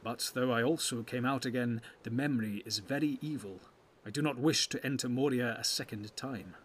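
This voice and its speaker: A man's voice: medium pitch, normal speed, natural voice. A man playing a solemn, wary ranger in a fantasy audiobook, speaking in a heavy, formal, foreboding manner. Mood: haunted. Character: solemn, brooding male ranger, loyal and wary.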